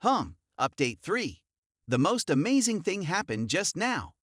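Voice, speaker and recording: A man reading an online forum story aloud in the first person, in an even storytelling manner. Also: high voice, unhurried, no echo, clean studio sound. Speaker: narrator.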